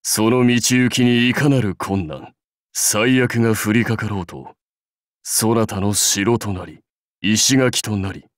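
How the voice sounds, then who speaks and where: An actor playing a proud, seasoned samurai warlord in a game, speaking in stately, measured, archaic phrases with wry authority. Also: male voice, on the low side, no room sound, studio quality. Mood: resolute, solemn. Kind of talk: scripted game character lines.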